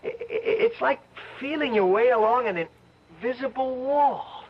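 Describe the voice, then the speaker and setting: Jumpy amazed man, husky high voice, halting, old radio play, muffled sound. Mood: bewildered. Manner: halting, astonished, repeating himself. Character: excitable, bewildered man exploring an eerie trap. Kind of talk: old-time radio drama acting.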